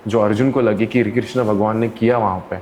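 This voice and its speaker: A man's voice: lowish voice, fairly quick. A man, an interview guest who works as a counsellor, telling stories in casual conversation and acting out the people in them. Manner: animated, acts out quoted people with voices. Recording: faint background noise.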